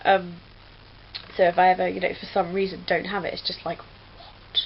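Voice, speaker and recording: A woman's voice: lowish voice, little pitch movement, moderate pace. A young woman from England chatting casually as a vlogger, rambling with lots of fillers. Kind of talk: casual chat. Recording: dull lo-fi webcam audio with faint hiss.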